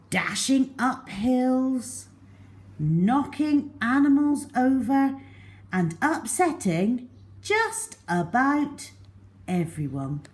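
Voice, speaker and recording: A woman storyteller reads for young children with theatrical care. Her pace is very slow and her pitch moves in lively swoops. Phrases often settle into a hush at the end. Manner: theatrical, deliberate, with hushed phrase endings.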